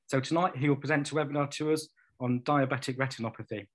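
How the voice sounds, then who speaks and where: A man's voice: medium pitch, steady tone, quick pace, fairly bright tone. Man hosting a webinar. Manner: plain, methodical, with ums. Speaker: webinar host. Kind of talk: reading housekeeping notes. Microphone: computer mic on a video call.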